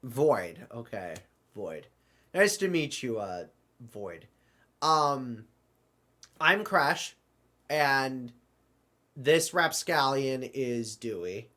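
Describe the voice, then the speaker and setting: A man acts out a flustered adventurer in an audio drama. His voice is bright and forceful, his pace unhurried, and he stumbles politely over his words as an excitable, apologetic traveler. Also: medium pitch, full character voice. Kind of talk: hobby audio drama dialogue.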